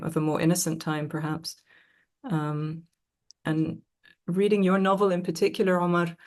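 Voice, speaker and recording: A woman moderating a live panel discussion, speaking reflectively and haltingly as she searches for her words. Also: deep voice, a little mellow.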